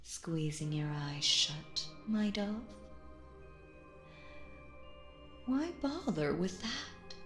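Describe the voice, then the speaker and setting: A woman playing a sinister, possessive stage magician in a roleplay audio, speaking theatrically and coaxingly in a slow, silky manner. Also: mid-range voice, big pitch swings, fairly bright tone, echoey room, soft background music. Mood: coaxing, teasing menace. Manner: theatrical, slow, silky, addresses listener as dear. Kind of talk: scripted roleplay audio acting.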